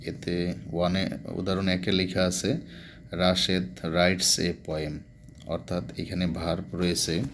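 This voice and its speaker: A man's voice: deep voice, slight background noise. A man, a Bengali speaker, giving a grammar lesson as a teacher, explaining step by step with repetition. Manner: didactic, repeats explanations step by step.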